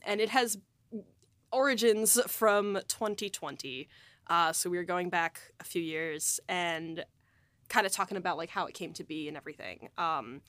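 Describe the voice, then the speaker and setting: A woman's voice: lowish voice, fairly bright tone, no echo. A woman hosting a podcast in casual chat, with a playful, bantering way of talking. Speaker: podcast host.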